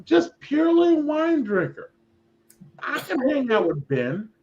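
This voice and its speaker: A man in his forties rambles through his points on a group call, restarting and swearing as he goes. His voice is notably high for a man. The call audio comes through dull and lo-fi. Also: huge pitch swings, takes it slowly, noisy background. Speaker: guest on a group stream. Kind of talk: casual group chat.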